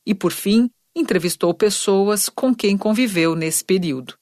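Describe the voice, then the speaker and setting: A woman narrating an educational documentary in a measured, scripted read, also putting questions to the interviewee. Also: mid-range voice, darkish tone, dry recording. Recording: music bed underneath.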